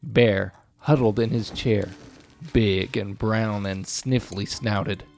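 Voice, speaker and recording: A man narrating a children's picture book aloud in an expressive storytelling style. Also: fairly low pitch, takes it slowly, vocal fry, faint music behind.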